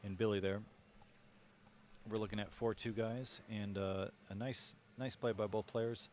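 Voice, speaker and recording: Low-voiced analytical pool commentator. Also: male voice, fairly even intonation, measured pace, darkish tone, a little hiss or hum. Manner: analytical, explains shot choices to viewers. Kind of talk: live sports commentary.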